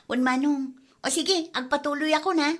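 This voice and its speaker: Young spendthrift villager female actor, high voice, evasive, Filipino Ilocano accent, comedy podcast. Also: normal speed, lightly acted. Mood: making excuses. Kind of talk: comedy drama podcast acting.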